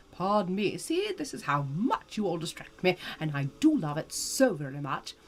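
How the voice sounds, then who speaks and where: A woman's voice: fairly low pitch, very animated, unhurried. A woman playing a genteel, ageless Southern lady more than a century old, chatting theatrically and effusively in an old-fashioned American Southern accent. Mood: delighted, chatty. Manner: posh, theatrical, drawling, effusive. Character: genteel ageless Southern woman over 150, playfully flirtatious. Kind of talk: in-character live-stream chat and storytelling.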